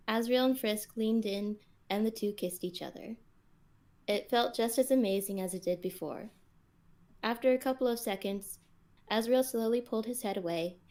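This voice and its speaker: A woman's voice: mid-range voice, warm tone. A woman on a podcast, reading scripted story narration and chatting casually with the group.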